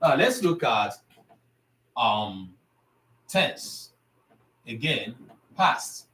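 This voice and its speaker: A man in middle age teaching a live online Igbo lesson. His voice sits at an ordinary pitch, and he talks in a lively, conversational way, lecturing his students and moving easily between English and Igbo. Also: drawn-out words, poor audio. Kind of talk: live online language class.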